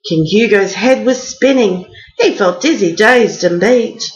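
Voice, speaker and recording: A woman's voice: mid-range voice, measured pace, highs cut off. A woman storyteller reading a rhyming picture book aloud as a bedtime story.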